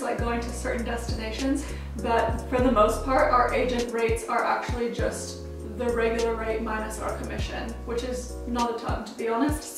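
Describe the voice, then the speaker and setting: A woman's voice: a little high, very echoey, recorded from a distance. A young American woman in her twenties, chatting upbeat to camera as a travel vlogger, with plenty of casual fillers. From United States. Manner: chatty and upbeat, frequent "like" fillers, laughs easily. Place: small indoor room at home.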